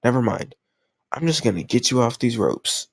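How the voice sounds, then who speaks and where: Sweet obsessive kidnapper male actor, medium-pitched with gravelly fry, dry close sound; earnest, awkwardly doting, reassuring, for intimate audio roleplay. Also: expressive, normal speed, no room sound. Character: sweet, lovesick, obsessive male kidnapper comforting a captive crush.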